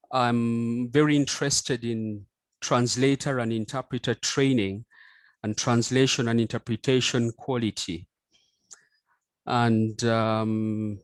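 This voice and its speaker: Slow, measured Ugandan man. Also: medium pitch, dry recording. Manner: courteous, measured and gently humorous. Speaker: lecturer in French and translation. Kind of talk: self-introduction at an online conference. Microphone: video call.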